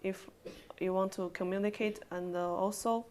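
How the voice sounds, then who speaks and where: A woman from China, a native Chinese speaker, talking in halting, simple English as an interview guest. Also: medium pitch, fairly even intonation, darkish tone, slight background noise. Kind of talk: casual conversation.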